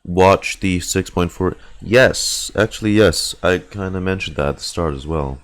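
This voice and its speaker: Very deep-voiced man, casual game streamer. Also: measured pace. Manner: rambling, thinking aloud, swearing casually. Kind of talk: casual livestream chat while gaming.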